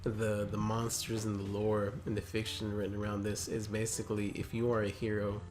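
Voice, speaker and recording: A man's voice: lowish voice, monotone, brisk. A young man reading aloud and chatting casually, with skeptical, hesitant asides. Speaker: co-host reading a wiki aloud with commentary. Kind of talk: casual chat and read-aloud. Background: faint guitar music.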